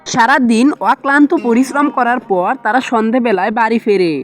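A woman's voice: a little high, fairly quick. A woman narrates a cartoon folk tale. She reads in a scripted storybook style, with music playing behind her.

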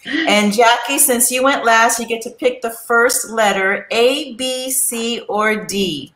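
A woman's voice: on the high side, slow pace, fairly bright tone, reverberant, poor audio. A woman moderating a panel over a video call, playful as she hands turns to speakers. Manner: playful, guides speakers with lettered cards.

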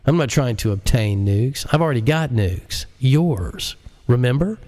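A man voicing a smug, menacing male computer genius, calm, deliberate and condescending, in an audiobook. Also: medium pitch, natural voice. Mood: mocking calm. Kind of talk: audiobook character acting.

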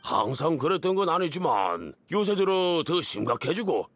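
A man's voice: a little high, sing-song, normal speed, fairly bright tone, no echo. A man voicing a polite, folksy older village chief with a Gyeongsang Korean accent in a video game. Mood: concerned.

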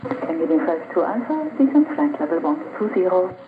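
An air traffic controller giving brisk, clipped procedural approach instructions over VHF airband radio. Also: mid-range voice, big pitch swings, roomy sound. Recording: noisy narrowband aircraft radio transmission. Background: radio static.

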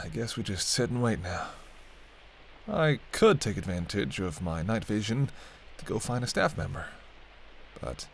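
A man's voice: fairly low pitch, slight background noise. A man playing a sardonic, teasing vampire in an audio roleplay, talking casually and wryly to the listener as a reluctant companion. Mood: teasing, watchful. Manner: wry, bantering, casual, muttered asides. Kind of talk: intimate audio roleplay acting addressed to the listener.

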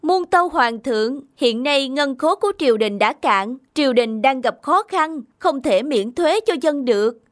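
Lady doing self-serving royal minister from a cartoon tale, high voice, stuffy and formal. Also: expressive, normal speed, few pauses, dry recording, clean studio sound. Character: self-serving, flattering male court minister. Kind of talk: animated folk tale dialogue. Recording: faint music underneath.

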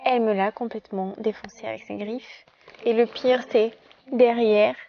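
A woman's voice: fairly high pitch, steady tone, normal speed, vocal fry, highs cut off. A young adult woman, chatting casually to the camera as a vlogger. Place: small furnished room at home, later inside a parked car. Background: background music.

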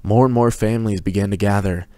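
A man with a low voice reads a story aloud as its narrator. The delivery is a dramatic reading, recorded close with very little room sound. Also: fairly even intonation, fast talker, a little mellow, dry recording. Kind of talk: dramatic reading of prose fiction.

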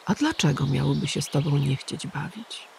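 A woman voicing a tired, kind old grey male pigeon who calmly reassures a child in a storybook. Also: low voice, moderate pace, creaky, a little hiss or hum. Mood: reassuring. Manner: calm, gentle and reassuring.

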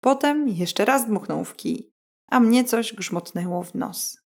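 A woman's voice: mid-range voice, lively intonation, takes it slowly, creaky, darkish tone, heavily acted. A boastful and naive storybook wolf, acted out by a woman reading aloud. He exclaims eagerly and sounds full of himself, yet simple. The style is that of a bedtime fairy tale. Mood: battered, amazed. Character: boastful, naive male wolf, later beaten and bewildered.

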